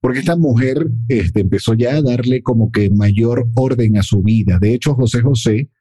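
A man speaks fluently in a relaxed chat, explaining details and correcting facts as he goes. His mid-range voice is husky and mellow. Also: medium pitch, normal speed. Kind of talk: casual chat.

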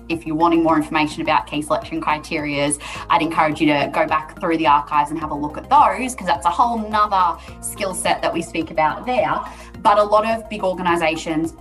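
Low-pitched woman talking recruitment. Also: fairly bright tone. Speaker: recruiter giving advice on a podcast. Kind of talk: conversational podcast advice.

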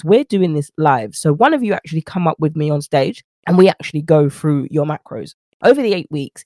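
A woman's voice: on the low side, warm tone, dry recording, studio quality. A woman with a slightly nasal, head-cold voice hosts a solo podcast as a nutrition coach, chatting conversationally and addressing listeners as friends.

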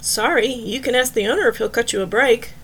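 Woman as a wry, knowing bartender, highish voice. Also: fairly quick, a bit bright, slightly rough. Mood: amused.

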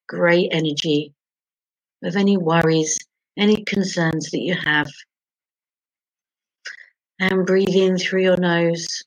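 A woman leading a guided sleep meditation in a soothing, gentle, hushed manner, pausing between short phrases. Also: fairly low pitch, husky, dry recording, rough recording. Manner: soothing, hushed, gentle instruction with long pauses.

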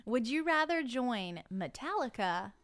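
A woman's voice: a little high, very animated, measured pace, a bit bright. A Southern woman co-hosting a faith podcast. She asks the questions in a playful, giggly way. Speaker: podcast co-host. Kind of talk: casual chat, asking the questions.

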